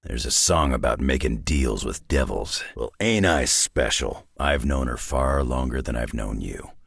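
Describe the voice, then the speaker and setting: A man playing a gruff, world-weary, sardonic middle-aged superhero brawler in a video game, with a raspy growl and a blunt, wry drawl. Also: rumbling low, expressive, unhurried, a bit bright, no room sound, clean studio sound, full character voice. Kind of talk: video game character voice lines.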